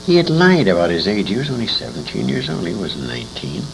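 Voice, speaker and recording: An older man, a war veteran being interviewed, tells anecdotes in an emphatic, salty, halting way, acting out the dialogue. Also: on the low side, huge pitch swings, vocal fry. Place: indoor interview at a convention. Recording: noisy amateur interview tape with room ambience.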